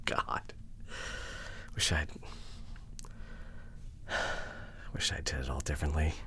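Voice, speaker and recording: A man playing a remorseful, devoted boyfriend in an intimate ASMR audio drama, with a breathy voice that often drops toward a whisper and an earnest, halting delivery. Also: very deep voice, little pitch movement, pushed and bright, exaggerated voice. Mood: rueful, subdued. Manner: emotional, earnest, halting with sighs. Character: remorseful, devoted boyfriend pleading to repair relationship.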